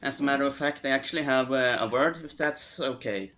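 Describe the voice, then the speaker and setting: Bright-voiced Norwegian man on a video call. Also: mid-range voice, fairly quick. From Norway. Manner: hesitant, many uhs. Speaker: caller, language teacher. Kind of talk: casual video call chat.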